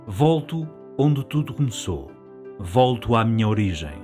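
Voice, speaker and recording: A middle-aged man with a fairly low voice reads a first-person documentary narration. His delivery is reflective and nostalgic, and soft music plays behind him. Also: sing-song, a little slow. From Portugal, later living in France.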